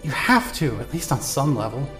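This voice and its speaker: A man narrating in second person for a fan audio drama, measured, intimate and searching. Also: mid-range voice, sing-song, fairly bright tone, faint music behind. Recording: roomy echoey recording.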